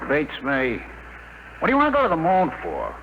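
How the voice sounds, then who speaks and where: An actor playing a slangy, skeptical, wisecracking rocket technician in a 1950s radio drama, on an old broadcast recording. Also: male voice, on the high side, huge pitch swings, normal speed. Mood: wry. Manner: slangy, wry. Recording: hissy 1950 radio broadcast.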